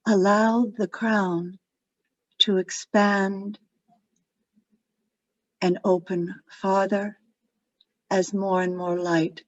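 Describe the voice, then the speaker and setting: A woman leading a guided meditation in a gentle, soothing, unhurried way, phrase by phrase with long pauses. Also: lowish voice. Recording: dull lo-fi audio, roomy echo.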